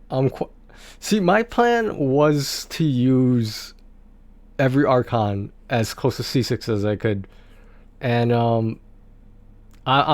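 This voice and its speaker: A man chatting casually on a game livestream, talking in a rambling, halting way and often repeating his words. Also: medium pitch, no echo.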